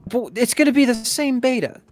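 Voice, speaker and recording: A man's voice: extremely high pitch, a little fast. A young man co-hosting a game stream, chatting casually with an emphatic, complaining manner. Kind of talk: casual gaming chat.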